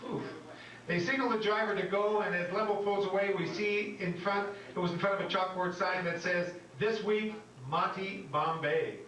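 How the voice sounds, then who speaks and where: A man's voice: high voice, steady tone, moderate pace, a bit bright. A man narrating stage directions at a live script reading, sounding amused. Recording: echoey room, distant mic, faint noise.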